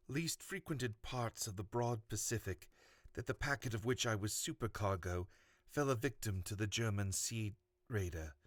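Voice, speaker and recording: A man's voice: on the low side, no echo. A man reading aloud in a dramatic storytelling style, as the host and narrator of horror tales.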